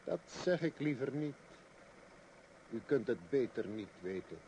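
Secretive, reckless driver, mellow medium pitch, lively swings, old radio drama. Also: male voice, unhurried, slight background noise, low-quality recording. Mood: gruff, careless. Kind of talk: radio play acting. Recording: old radio recording, engine drone behind.

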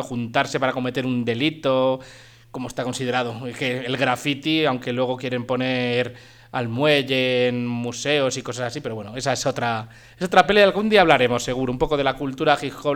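A male radio host with a Spain accent talks in an informal, digressive way. His voice sits at a middle pitch as he chats and introduces music. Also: a bit bright. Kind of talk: casual chat, presenting music.

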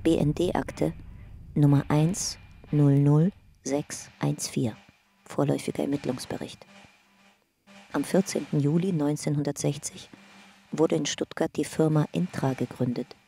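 A woman's voice: low voice, fairly even intonation, a little slow, vocal fry, warm tone, slight background noise. A woman narrating an investigation file in a factual, detached, scripted radio drama style.

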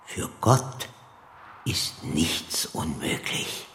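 Radio drama: a solemn, gentle holy spirit, deep and slow, in echoing sound. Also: male voice, lively intonation, faint music behind, exaggerated voice. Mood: solemn, mild. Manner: solemn, deliberate. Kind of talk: German radio play, biblical recitation. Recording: echoey, faint noise.

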